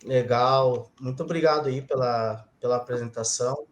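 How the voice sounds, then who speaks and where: A man's voice: mid-range voice, fairly even intonation, normal speed. A man hosting a podcast panel, talking in a hesitant way with many fillers. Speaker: podcast host, moderator. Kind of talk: casual moderated panel. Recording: roomy sound with faint noise.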